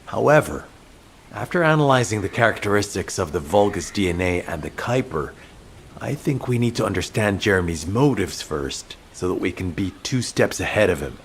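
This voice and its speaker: Low-voiced, authoritative male military commander. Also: expressive, normal speed, slight background noise. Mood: grave, businesslike. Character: tense, commanding male military mission officer briefing troops. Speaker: mission commander. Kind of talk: scripted game dialogue, briefing. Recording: music behind the voice.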